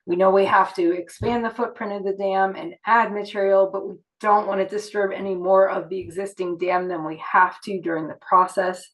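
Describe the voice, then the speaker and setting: A woman with a cold and a worn, hoarse voice, giving steady, measured remarks at a public meeting. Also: mid-range voice, low-quality recording. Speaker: project representative at a public meeting.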